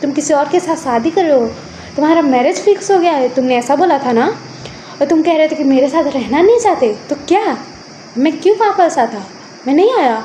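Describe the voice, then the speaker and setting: A woman's voice: high voice, moderate pace, dark tone, a little hiss or hum, voice pushed up, lightly acted, rough recording. A woman voicing a hurt, indignant male former partner in a story, confrontational and demanding answers. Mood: angry, wounded. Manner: confrontational, repeats questions.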